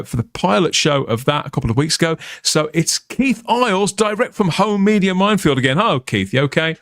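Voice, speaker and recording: A man's voice: fairly high pitch, fairly bright tone, no echo, clean studio sound. A middle-aged man with a Birmingham accent hosting a live stream chat in a playful, jokey way. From England. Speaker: host.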